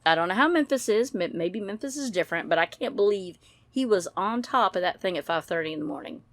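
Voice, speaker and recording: A woman's voice: medium pitch, lively intonation, quick pace, no room sound. A woman giving casual, blunt, opinionated commentary in a rambling way, with some mild swearing. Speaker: true-crime YouTube commentator.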